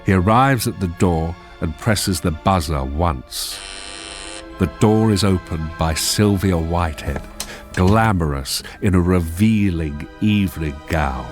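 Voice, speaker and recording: A man narrating a radio drama in a measured storytelling manner, reading out the scene directions. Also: low voice, faint music behind.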